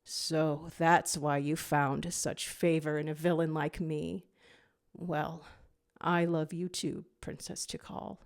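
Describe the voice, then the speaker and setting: A brooding male jackal villain from a dark animated fan story, voiced by a woman. The voice drops lower, with a grandiose, commanding and wounded air. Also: slow, vocal fry, full character voice. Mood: brooding, tender.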